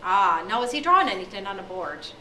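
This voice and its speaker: A woman's voice: medium pitch, very animated, fast, pushed and bright, slight background noise. A woman voicing a hot-tempered older male schoolmaster who bellows insults. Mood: gruff. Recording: echoey room from far off.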